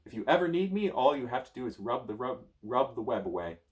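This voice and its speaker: A man voicing a gentle, polite male winged horse while reading a storybook. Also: mid-range voice, big pitch swings, brisk, natural voice.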